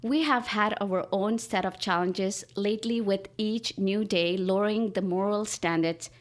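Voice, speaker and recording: Woman artist, native Urdu speaker, earnest podcast guest, mid-pitched. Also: steady tone, a little slow. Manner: earnest, reads her own writing aloud. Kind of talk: podcast interview.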